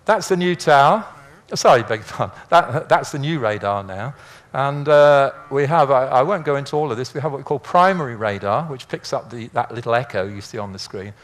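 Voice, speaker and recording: A man's voice: medium pitch, expressive, a little fast, hardly any pauses. An older man giving an informal illustrated lecture in a chatty, rambling, anecdotal way with dry humour. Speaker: speaker, former air traffic controller.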